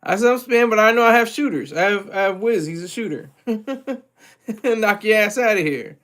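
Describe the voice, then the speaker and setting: Streamer, male, high-pitched, rambly casual chat with viewers, lots of restarts. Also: normal speed, fairly bright tone. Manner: loose, rambling, with frequent restarts and chuckles. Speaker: livestream host reading chat.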